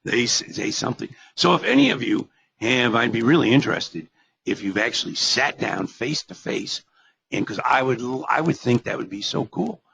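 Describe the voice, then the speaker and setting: A man's voice: mid-range voice, sing-song, moderate pace, gravelly, a bit bright, slightly rough, no echo. An older man presenting a live webinar on special education, folksy and digressive, with rhetorical questions and many fillers, and he is losing his voice. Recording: dull, lo-fi webinar audio.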